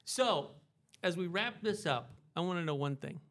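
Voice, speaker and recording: A man hosting a casual interview, chatty and encouraging, quick to praise his guest. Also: high voice, expressive, a bit bright. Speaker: interviewer, host.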